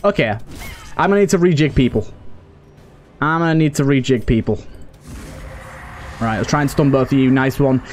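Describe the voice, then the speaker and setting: A man's voice: mid-range voice, normal speed, pauses often, a little mellow. A man giving casual let's play commentary, muttering to himself as he thinks through his moves. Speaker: gaming video host. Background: game music.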